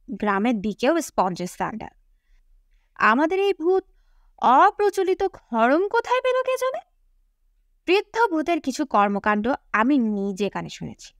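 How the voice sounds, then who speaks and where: Casting: female audiobook narrator, high voice, first-person novel reading, very dry close sound. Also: no room sound.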